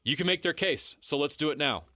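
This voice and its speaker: A man's voice: a little high, animated, fairly quick, sharp tone, no echo. A man co-hosting a sports talk show, giving emphatic, opinionated commentary as he counts down a list.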